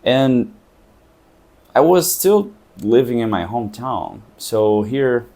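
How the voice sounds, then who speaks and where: Mellow-voiced Brazilian man musing on language. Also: mid-range voice, lively intonation, slow pace, vocal fry. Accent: Brazilian Portuguese. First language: Portuguese. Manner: thoughtful, searching for words. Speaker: English teacher and traveler. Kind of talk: reflective vlog talk.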